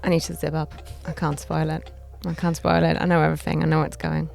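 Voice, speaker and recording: A woman with a slightly low voice chats casually as a podcast co-host. There is faint music behind her. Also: flat delivery, fast talker, vocal fry, darkish tone. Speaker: podcast co-host, executive producer. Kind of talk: casual chat.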